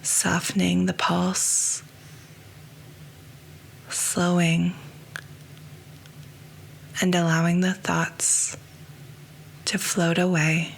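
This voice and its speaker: A woman guiding a yoga nidra meditation, speaking softly and slowly, often close to a whisper. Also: lowish voice, flat delivery, vocal fry, slight background noise, poor audio. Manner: soft, slow and hushed, often near a whisper. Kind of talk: guided meditation.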